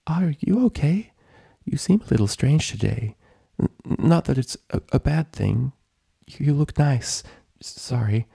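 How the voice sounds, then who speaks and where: A man's voice: mid-range voice, expressive, measured pace, gravelly, mellow, breathy edge, no echo, studio quality, voice pushed up, heavily acted. A man voicing a timid, apologetic young female pony with a hesitant, stammering manner in a story. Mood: anxious, hesitant.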